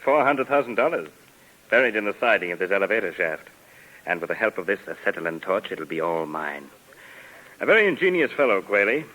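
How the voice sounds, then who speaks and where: Polite villain with sharp voice. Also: male voice, lowish voice, very animated, a little fast, exaggerated voice, rough recording. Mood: sly, gloating. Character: greedy, sly murderer unmasked, outwardly polite. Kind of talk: 1950s radio crime acting. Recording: vintage 1950s radio recording.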